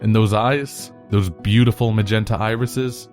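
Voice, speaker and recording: A man reading second-person fan fiction aloud as narrator in a casual, expressive way. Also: lowish voice, unhurried, faint music behind.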